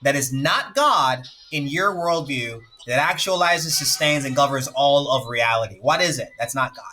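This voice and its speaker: A man from Jamaica chatting casually on a live stream, excitable, repeating himself and laughing often. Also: medium pitch, moderate pace.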